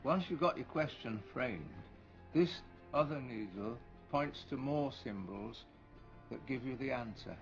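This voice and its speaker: An actor playing a calm, patient mentor who explains deliberately in a gravelly voice, in a film drama. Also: male voice, mid-range voice. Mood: patient, instructive. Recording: dull film audio with faint music.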